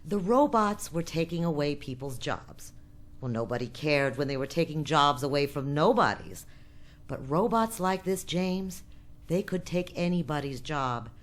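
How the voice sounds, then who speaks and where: A woman playing a tough, shrewd, impatient boss with shady deals in a science fiction radio drama, forceful and sardonic. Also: low voice, expressive, a little slow. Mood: scornful, insistent.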